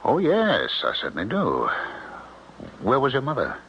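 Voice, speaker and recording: An actor playing a concerned small-town doctor, measured and probing, who narrates a 1970s radio mystery. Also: male voice, mid-range voice, wildly swooping pitch, slightly rough, full character voice. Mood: gentle concern. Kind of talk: dramatic first-person narration and dialogue, 1970s radio. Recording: dull 1970s radio recording. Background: music.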